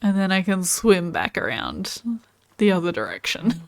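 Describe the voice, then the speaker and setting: A woman's voice: on the low side, big pitch swings, moderate pace, no echo. An Australian woman chatting casually while streaming gameplay and answering viewers' comments.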